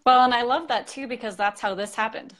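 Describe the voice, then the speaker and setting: A woman hosting a live-stream interview, upbeat and quick to laugh. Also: a little high, a bit bright, soft background music. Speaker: show host.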